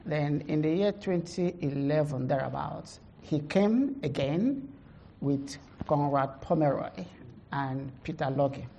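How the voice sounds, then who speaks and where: A middle-aged woman pastor with a heavy Nigerian accent gives a conference testimony, halting, with many fillers, laughing at herself. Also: sits low, expressive, dark tone, dull audio, a little hiss or hum.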